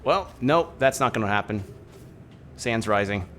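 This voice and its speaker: Casual male streamer, lowish voice, relaxed musing commentary, faint noise behind. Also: unhurried. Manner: thinking aloud, musing with hums; relaxed, soft-spoken.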